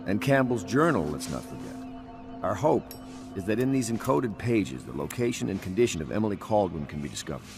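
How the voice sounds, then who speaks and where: An actor playing a grave, resolute loyalist leader in a video game, speaking in a formal, measured, oratorical way. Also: male voice, low voice. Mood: grave, urgent. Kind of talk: scripted game dialogue.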